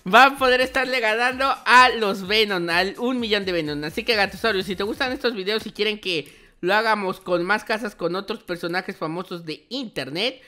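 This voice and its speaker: Bright-voiced excitable man, gaming host. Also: sits high, normal speed. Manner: playful, exclamatory, repeats words in bursts. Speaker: host of a gaming video channel. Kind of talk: excited gameplay roleplay commentary. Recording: music behind the voice. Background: music.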